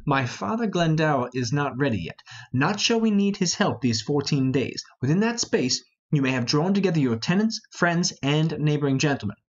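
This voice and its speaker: A man playing a reasonable, peacemaking noble conspirator in a Shakespearean play reading, measured and formal. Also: fairly high pitch, expressive, highs cut off. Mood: businesslike.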